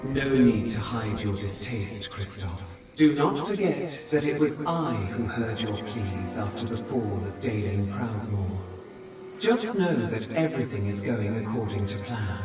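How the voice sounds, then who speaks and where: A man's voice: on the low side, lively intonation, unhurried. A man voicing a manipulative demon mastermind in a fantasy game, condescending and assured, in heavy echo. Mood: smug. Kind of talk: fantasy game cutscene villain acting. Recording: very echoey, distant, music underneath.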